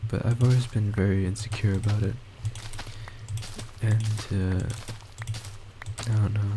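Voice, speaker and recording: A young man, a college student, talking in a quiet, soft voice about himself, hesitant and halting with many restarts and fillers, into a boosted desktop mic. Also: fairly low pitch, steady tone, noisy background. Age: young adult. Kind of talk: rambling personal monologue. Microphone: desktop microphone with boosted gain.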